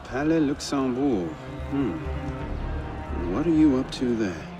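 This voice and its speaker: An actor playing a determined young assassin investigator in a game, terse and focused. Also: male voice, mid-range voice, expressive, slow pace, lo-fi sound, over music. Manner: clipped, focused.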